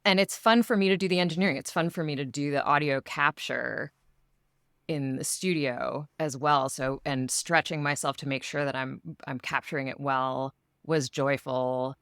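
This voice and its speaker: Smooth, low-pitched woman chatting. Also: steady tone, moderate pace, a bit bright, no room sound, clean studio sound. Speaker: voice actor and audio engineer. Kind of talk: casual interview chat.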